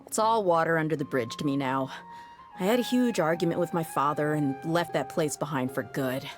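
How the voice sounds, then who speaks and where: A tough young female mercenary, voiced by a woman with a low, grounded voice. She talks in a blunt, easygoing, matter-of-fact way as she recounts things in a game's story dialogue, with faint music beneath her. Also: fairly quick, lightly acted. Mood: steady. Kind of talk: game voice acting, story dialogue.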